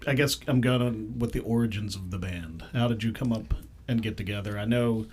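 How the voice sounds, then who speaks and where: A man's voice: lowish voice, fairly quick, darkish tone, a little hiss or hum. A man co-hosting an interview, asking friendly, joking questions. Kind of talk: casual interview chat.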